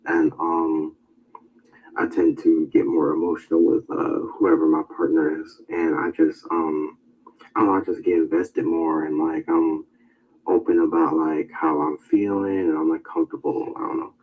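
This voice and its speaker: A man's voice: a bass voice, little pitch movement, moderate pace, a little mellow, poor audio. A young man speaking about himself in a hesitant, casual way, full of fillers. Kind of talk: casual personal talk.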